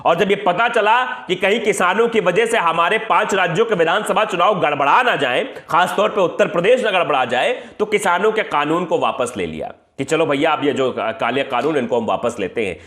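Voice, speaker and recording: A male news presenter with a high, very lively voice delivers political commentary. He speaks emphatically and colloquially, throwing out rhetorical questions. The sound has a strong room echo. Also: normal speed, hardly any pauses. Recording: echoey room.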